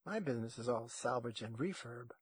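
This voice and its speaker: A man's voice: mid-range voice, lively intonation, a little fast, husky, no room sound. A man voicing a wary young woman scavenger in a story, casual and evasive, with hesitations.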